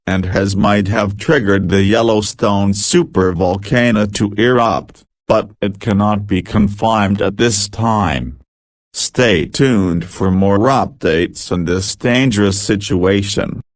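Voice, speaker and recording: Synthetic male emergency announcer, slow stiff formal read, very dry sound. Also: fairly low pitch, no echo. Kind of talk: scripted emergency alert announcement.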